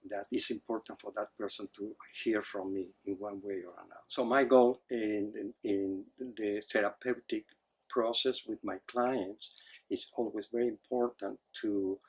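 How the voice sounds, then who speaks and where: Husky low-voiced man. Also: poor audio. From Argentina. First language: Spanish. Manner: measured, hesitant, with frequent fillers. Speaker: psychotherapist. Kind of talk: introducing his therapy practice to camera.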